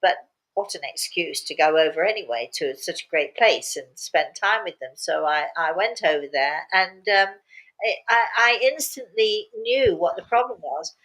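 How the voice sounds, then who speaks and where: A woman's voice: fairly low pitch, lively intonation, normal speed, a bit bright, low-quality recording. An older woman speaking as a spiritual medium, telling stories casually and repeating words for emphasis. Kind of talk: casual storytelling talk.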